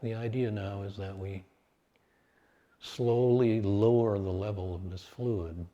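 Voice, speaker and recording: Very slow, low-voiced male meditation guide. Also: highs cut off. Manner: calm, measured, with long pauses between short phrases.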